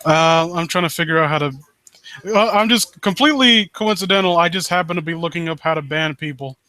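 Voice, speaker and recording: A man hosting a casual live stream, talking in a halting, rambling way with joking, foul-mouthed asides. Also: a little high, pushed and bright, no echo. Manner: rambling, halting, self-interrupting. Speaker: livestream host.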